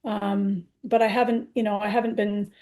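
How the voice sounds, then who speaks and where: A woman's voice: medium pitch, flat delivery, moderate pace, a little mellow. A woman in her sixties, a poet answering interview questions in a candid, reflective, conversational way. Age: 60s.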